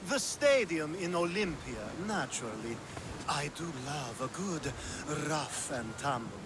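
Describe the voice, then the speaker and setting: An actor playing a flirtatious, decadent nobleman in a video game, teasing with drawn-out innuendo. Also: a little high, sing-song. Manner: teasing, suggestive, drawn-out delivery. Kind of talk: video game cutscene acting. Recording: clear background ambience noise.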